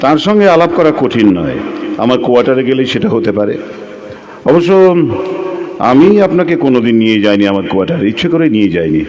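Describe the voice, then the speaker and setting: An actor playing a reclusive, brooding lighthouse keeper in a Bengali audio drama, speaking deliberately with dramatic pauses. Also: male voice, medium pitch, sing-song, a little mellow, close to an everyday voice. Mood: guarded. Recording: clearly noisy background ambience.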